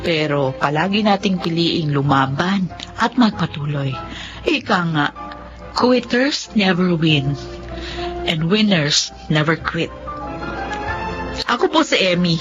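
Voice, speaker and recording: A woman's voice: lowish voice, lively intonation, takes it slowly, long pauses. A woman acting an earnest young single mother and devoted daughter in a Filipino radio drama, with heartfelt, emotional delivery. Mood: resolute, reflective. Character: earnest, apologetic grown daughter, self-sacrificing single mother. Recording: dull lo-fi radio recording with music.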